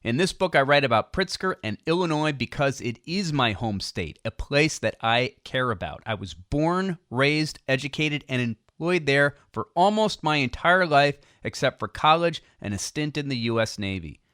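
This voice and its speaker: A man with an American accent reading a political audiobook in a measured, emphatic, persuasive way. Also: mid-range voice, lively intonation, a bit bright, dry recording, clean studio sound. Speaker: audiobook narrator. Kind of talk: scripted narration of a political book.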